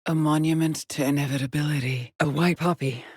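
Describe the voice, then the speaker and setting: A haunted lone female space explorer from a sci-fi video game, speaks in a low, husky voice. She is measured and solemn, weary yet determined, and often breathy, as if logging her findings alone. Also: steady tone, no room sound, clean studio sound. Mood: quiet, haunted. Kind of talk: dramatic game voice lines, short log entries.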